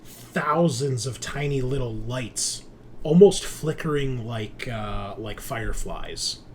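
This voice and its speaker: A man's voice: medium pitch, animated, takes it slowly, a little mellow, a little hiss or hum. A man running a tabletop sci-fi game as game master, describing scenes conversationally and correcting himself as he improvises.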